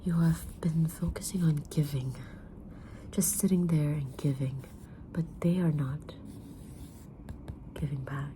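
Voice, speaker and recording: Woman doing a gentle witchy tarot reader, whispery asmr roleplay, low voice, pretty even tone, mysterious and kind. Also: a little hiss or hum, rough recording. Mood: gentle, counselling. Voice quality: breathy whisper. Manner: gentle, deliberate, soft-spoken, drawn-out pauses.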